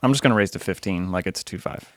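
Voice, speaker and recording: A young man with a fairly low voice talks in a relaxed, explanatory way, with a wry edge. The sound is dry and close to the mic. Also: fast, no room sound. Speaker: podcast co-host, poker dealer. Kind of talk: casual chat.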